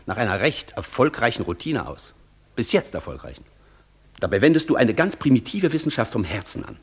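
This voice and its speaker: An actor playing an intense, persuasive professor in a German radio play, declaiming and lecturing insistently with a husky voice. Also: male voice, mid-range voice, very animated, a little fast. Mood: insistent, mocking. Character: intense, persuasive male professor tempting the Devil. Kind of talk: German radio play acting, long rhetorical speeches.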